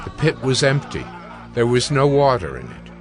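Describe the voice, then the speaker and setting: A man reads as the narrator of a dramatised Bible audiobook. His mid-pitched delivery is measured and solemn, with soft music behind it. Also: very animated.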